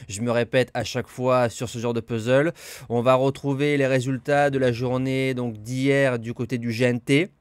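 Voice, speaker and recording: A man, a little hoarse from a cold, running through his racing picks in a brisk, matter-of-fact way. His voice is crisp and mid-pitched. It is recorded dry and close, with no room sound. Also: no echo. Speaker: horse racing tipster. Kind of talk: betting tips monologue.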